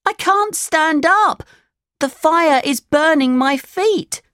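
A woman's voice: a little high, big pitch swings, slow, dry recording, clean studio sound, pitched above the performer's own voice. A woman voicing a naughty, wilful boy puppet in a children's storybook, childlike, pleading and emphatic. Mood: distressed. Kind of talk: children's storybook character reading.

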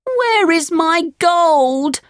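Naive young storybook boy, played by a woman, high and very animated, earnest. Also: takes it slowly, no echo, studio quality, exaggerated voice. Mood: upset, questioning. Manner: earnest, childlike, excitable. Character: naive, eager wooden puppet boy. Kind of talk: children's storybook character voice.

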